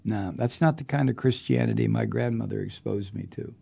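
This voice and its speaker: A man's voice: lowish voice, fairly quick, vocal fry, warm tone, breathy edge. A man hosting a political podcast, delivering an angry, profane monologue with heavy emphasis. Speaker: podcast host.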